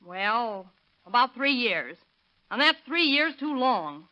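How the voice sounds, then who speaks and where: A woman's voice: a little high, very animated, slow pace, sharp tone, exaggerated voice. A woman acting a suspicious, sarcastic woman in an old radio drama, in a dull vintage recording. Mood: wary, annoyed.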